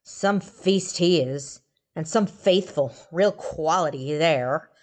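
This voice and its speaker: A woman's voice: mid-range voice, lively intonation, measured pace, pitched above the performer's own voice, close to an everyday voice. A woman voicing a sneering undead female marsh ghoul with a crude, gurgling, mocking manner.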